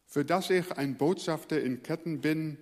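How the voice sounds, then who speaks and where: A male pastor from the Netherlands, a native Dutch speaker, preaching earnestly and letting his phrase endings fall quiet. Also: fairly high pitch, moderate pace, long reverb tail. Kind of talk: sermon.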